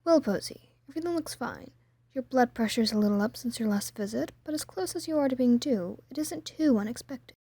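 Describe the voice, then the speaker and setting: Lady doing a kind pony nurse for an audio story, soft mellow tone, dry close sound, calm and professional. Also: a little high, brisk, no room sound. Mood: reassuring. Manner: attentive, instructive, polite. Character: caring, professional female pony nurse, calmly reassuring.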